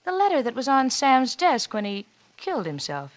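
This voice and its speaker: An actress playing a cunning, coolly blackmailing wife in a 1940s radio suspense drama, with a coy, teasing, insinuating manner. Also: female voice, sits high, wildly swooping pitch, normal speed. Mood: coolly calculating. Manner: coy, teasing, insinuating drawl with theatrical swoops.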